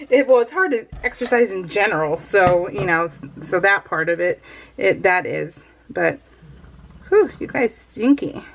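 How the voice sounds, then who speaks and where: A woman's voice: mid-range voice, expressive, measured pace, gravelly, slight background noise, rough recording. A woman in her thirties chatting casually while doing a scrapbooking project, rambling and laughing often. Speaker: scrapbooking vlogger. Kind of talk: casual voiced-over craft chat.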